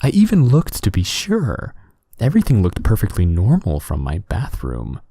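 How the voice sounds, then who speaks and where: Soft, mellow man reading horror stories. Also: on the low side, wildly swooping pitch, moderate pace, creaky, husky, dry recording, clean studio sound. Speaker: narrator. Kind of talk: scripted horror story narration, relaxing ASMR-like bedtime reading.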